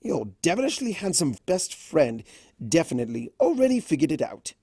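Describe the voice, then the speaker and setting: Someone voicing a smug, theatrical trickster creature, swooping pitch. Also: big pitch swings, dark tone, slightly rough, dry recording, studio quality. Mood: proud, smug. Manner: drawn-out, theatrical, teasing delivery.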